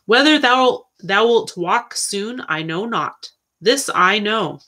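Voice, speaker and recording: A woman's voice: medium pitch, expressive, slow pace, bright, forceful tone, pitched above the performer's own voice, heavily acted. A woman voicing a humble, caring friar in a storybook, with earnest, archaic speech. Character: humble, caring medieval friar encouraging a boy.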